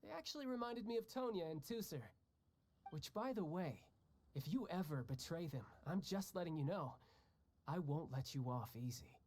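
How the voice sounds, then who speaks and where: A man's voice: on the high side, expressive, moderate pace, a little mellow. An actor playing a cocky young male warrior who talks in a breezy, joking way. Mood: teasing. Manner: breezy, joking, laughs often. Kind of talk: video game dialogue. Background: game music.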